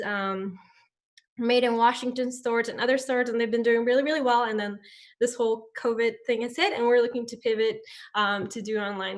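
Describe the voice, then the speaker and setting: A young woman from Ecuador pitching her product informally on a video call as a founder, with halting, hesitant phrasing. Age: young adult. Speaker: startup founder, guest. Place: indoor room at home. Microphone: laptop video call.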